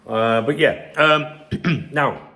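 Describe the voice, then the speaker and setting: A man, croaky from a chest infection, giving sweary and sarcastic casual commentary on a news article as a football channel host. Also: medium pitch, drawn-out words, sharp tone.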